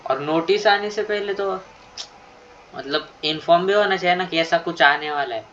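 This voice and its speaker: An Indian-accented man chats casually over gameplay. He talks very fast in a mid-range voice, and the recording is slightly muffled and lo-fi with faint noise. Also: on the high side, a bit bright. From India, Maharashtra. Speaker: gameplay streamer. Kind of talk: casual running commentary. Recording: dull, lo-fi mic, faint noise.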